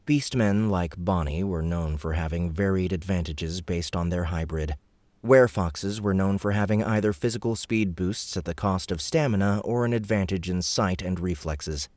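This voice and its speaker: Male narrator for fantasy fiction audiobook; deep register, dry studio sound, scripted storytelling. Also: normal speed, darkish tone, no echo.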